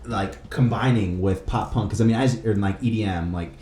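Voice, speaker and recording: A man hosting a podcast, chatting casually in a halting, slangy way. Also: on the low side, a little mellow. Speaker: podcast host. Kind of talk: casual chat. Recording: roomy, echoey room with noise.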